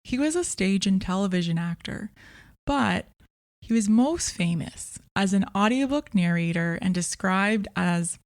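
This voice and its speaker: A woman presenting a scripted podcast review in a measured, clearly phrased way as the host. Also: mid-range voice, expressive, dry recording, clean studio sound.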